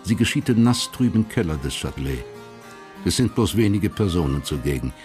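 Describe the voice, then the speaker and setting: A man's voice: low-pitched, very animated, brisk, darkish tone. A man as the sober, detached narrator of a radio crime play, giving scripted, factual narration. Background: guitar music.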